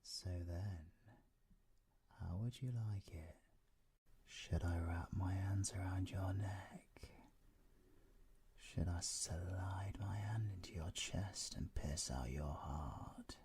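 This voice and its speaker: Man acting a slow, cocky British supervillain. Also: low-pitched, a little hiss or hum. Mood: menacing, seductive. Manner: taunting, breathy, swings between whispering and shouting. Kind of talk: roleplay audio drama, intimate ASMR.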